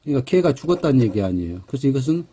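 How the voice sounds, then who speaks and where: A middle-aged man with a Jeolla Korean accent giving a relaxed English grammar lecture, pausing on fillers and correcting himself. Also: mid-range voice, husky. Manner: relaxed, slightly hesitant, with fillers and self-corrections.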